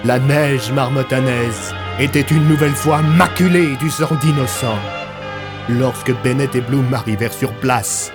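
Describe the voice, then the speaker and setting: Male narrator for serialized fiction: mid-range voice, scripted dramatic storytelling, strong musical underscore. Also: mid-range voice. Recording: loud music bed.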